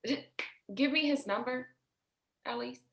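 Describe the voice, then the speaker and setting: A woman's voice: high voice, a little slow. A woman playing a stressed, defensive, foul-mouthed sister in a staged play reading, emotional and confrontational. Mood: pleading. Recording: echoey room.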